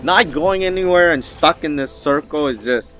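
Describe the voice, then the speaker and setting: A man's voice: fairly high pitch, moderate pace, sharp tone, faint music behind. A man giving casual, rambling gameplay commentary as the host of a gaming video.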